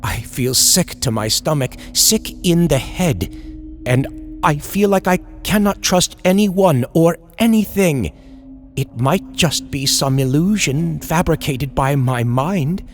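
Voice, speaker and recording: Slow, dramatic man narrating horror over music. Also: a little high, lively intonation. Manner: theatrical, deliberate storytelling. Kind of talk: dramatic scripted horror narration in old-time radio style.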